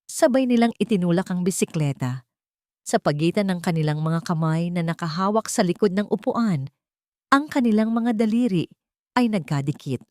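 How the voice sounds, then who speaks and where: A woman with a Filipino accent narrates a scripted romance drama in a slightly low voice. Her storytelling is measured and expressive. The sound is close and dry, with very little room. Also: dry recording.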